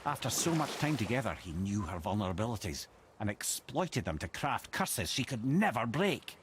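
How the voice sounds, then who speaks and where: A wry older Scottish sage, telling tales with lively, swinging intonation. His speech is eloquent, dramatic and old-fashioned, in the manner of a fantasy game companion, over clearly noisy boat and water ambience. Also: fairly low pitch, moderate pace. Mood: grave storytelling. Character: wry, learned older male storyteller companion. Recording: engine-like ambient noise.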